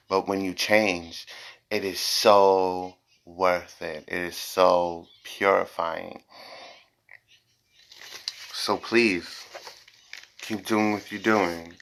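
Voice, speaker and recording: A man's voice: deep voice, gravelly, a bit bright, slight background noise. A man giving an informal tarot reading to viewers, speaking bluntly and repeating phrases for emphasis. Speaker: tarot reader, host.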